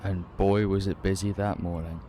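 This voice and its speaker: Low-voiced British man, casual vlogger. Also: flat delivery, moderate pace, dark tone, slight background noise. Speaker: angler and vlogger. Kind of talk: casual fishing vlog.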